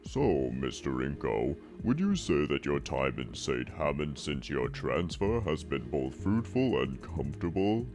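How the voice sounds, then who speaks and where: A man voicing a stern, formal whale school inspector in a visual novel, questioning in measured phrases. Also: very deep voice, sing-song, music in the background, heavily acted. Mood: formal, probing.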